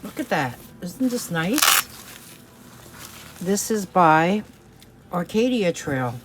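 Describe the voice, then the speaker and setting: A woman's voice: on the low side, very animated, slow pace, very noisy. A woman from New York City with a New York accent, chatting casually through a resale video with lots of fillers. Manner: chatty, hesitant, many fillers. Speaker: resale seller. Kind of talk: casual product showing vlog.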